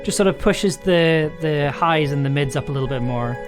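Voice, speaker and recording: Man, raspy and throaty, slightly high voice, rambling livestream chat, faint music behind. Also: moderate pace. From United Kingdom. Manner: rambling, many restarts and fillers. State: raspy, throaty voice after illness. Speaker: streamer producing an audio drama. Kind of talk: casual livestream talk.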